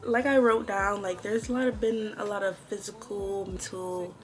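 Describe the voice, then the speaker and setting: A woman's voice: medium pitch, fairly even intonation, moderate pace, faint music behind. A woman in her twenties talking casually and chattily to her viewers, like an informal chit-chat vlog with girlfriends. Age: 20s. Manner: chatty, informal, girl-talk address to viewers. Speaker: vlogger.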